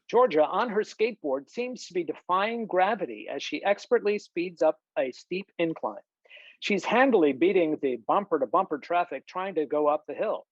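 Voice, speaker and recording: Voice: mid-range voice, dry recording. A narrator reading out screenplay stage directions in scripted narration over a video call. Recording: dull, lo-fi video call audio.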